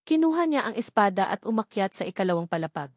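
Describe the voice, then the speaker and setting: A woman narrates fiction from a script in a formal manner. She delivers it in measured, separate phrases at a middling pitch. The sound is very dry, with next to no room on it. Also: big pitch swings, darkish tone, dry recording. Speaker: audiobook narrator.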